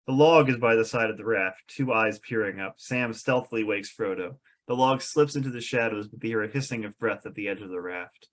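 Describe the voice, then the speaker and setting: A man's voice: fairly low pitch, brisk, few pauses. A man narrating stage directions from a fantasy script at a table read.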